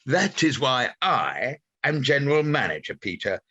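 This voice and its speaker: Man acting a pompous, sharp-voiced station manager. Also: medium pitch, big pitch swings, unhurried, husky, full character voice. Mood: pompous, emphatic. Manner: pompous, emphatic, corporate jargon. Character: self-important, risk-averse bureaucratic male station manager. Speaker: actor in a radio play. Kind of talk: radio comedy-drama dialogue.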